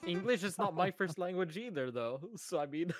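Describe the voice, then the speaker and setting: A man's voice: high voice, animated, normal speed. A man whose first language is Swedish, chatting casually and laughing often while playing a drawing game with friends. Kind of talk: casual gaming chat.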